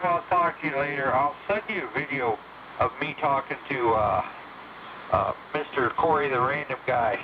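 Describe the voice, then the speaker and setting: A man talking casually over CB radio as an operator, folksy and informal with plenty of radio jargon. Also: medium pitch. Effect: heard over CB sideband radio. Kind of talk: casual on-air radio chat. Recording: noisy, phone-like CB radio signal.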